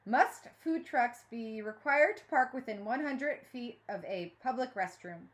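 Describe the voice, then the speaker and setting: A woman voicing a brisk, impatient female mayor in a storybook, formal and projecting like an official. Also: on the high side, animated.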